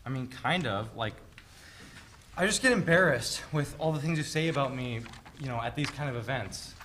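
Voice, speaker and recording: A man's voice: mid-range voice, expressive, moderate pace, fairly bright tone, a little hiss or hum, lightly acted. A man playing an awkward, easily embarrassed eighteen-year-old son in a dramatic play reading.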